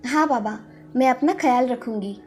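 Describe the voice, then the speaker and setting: A woman's voice: fairly high pitch, normal speed, a little mellow, faint music behind, lightly acted. A woman playing a dutiful young student daughter in a cartoon story.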